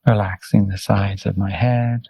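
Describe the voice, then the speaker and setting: A man guides a meditation at a very slow, unhurried pace. His voice is mellow and relaxed, with a gravelly fry at its edges. He gives gentle, soothing step-by-step instructions and leaves space between phrases. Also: on the low side, dry recording. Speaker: meditation teacher, Buddhist monk.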